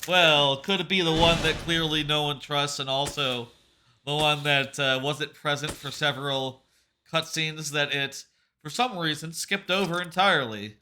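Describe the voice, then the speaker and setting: A man's voice: fairly high pitch, moderate pace, pushed and bright, slight background noise. A man streaming a game with casual, rambling, wry playthrough commentary. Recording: game music behind voice.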